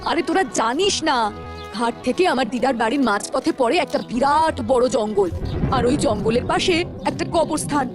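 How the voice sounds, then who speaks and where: Woman as high-pitched, earnest village boy. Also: lively intonation, brisk, over music. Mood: cautious. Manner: earnest, explanatory. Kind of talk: Bengali village cartoon dialogue.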